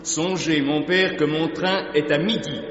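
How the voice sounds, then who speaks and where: Un acteur jouant un jeune marié impatient de fiction radiophonique, au ton pressant et suppliant. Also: male voice, fairly high pitch, fairly even intonation, a little slow, fairly bright tone. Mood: urgent, pleading. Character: eager, hurried young bridegroom. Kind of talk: French radio drama acting. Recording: dull, very echoey church-like hall.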